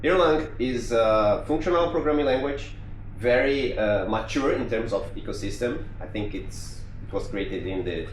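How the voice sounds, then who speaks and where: Brazilian-accented male speaker, casual talk with restarts, mid pitch, roomy echo. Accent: Brazilian Portuguese. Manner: conversational with frequent restarts and fillers. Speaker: conference speaker. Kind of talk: technical conference talk. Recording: echoey room with faint noise.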